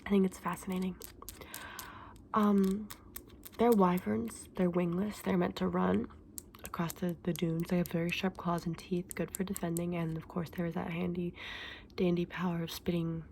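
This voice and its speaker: A woman's voice: fairly low pitch, darkish tone, slight background noise. Woman playing a whispering, scholarly dragon egg seller. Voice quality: breathy, mostly whispered. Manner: hesitant, explanatory, earnest. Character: gentle, scholarly woman selling dragon eggs. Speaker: ASMR roleplay performer. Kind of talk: soft-spoken, whispered ASMR fantasy roleplay.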